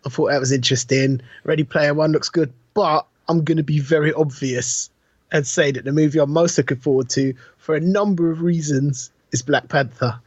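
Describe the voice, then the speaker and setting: A man in middle age speaks a bit higher than most, in the loose, slangy style of a podcast co-host. He tells things with animation and chats casually. The sound is very dry and slightly dull. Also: moderate pace, no echo. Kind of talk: casual chat about films.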